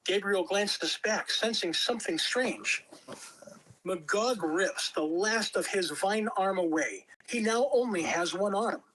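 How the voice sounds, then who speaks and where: Slow-paced woman reading a dramatic script. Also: medium pitch, fairly bright tone, slight background noise, poor audio. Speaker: script reader. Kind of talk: scripted narration at a table read.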